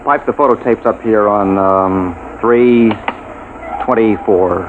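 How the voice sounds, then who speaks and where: An actor playing a dutiful lieutenant technician, terse and procedural, in a science-fiction radio drama. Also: male voice, on the low side, slow pace. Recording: tinny radio recording with clear noise.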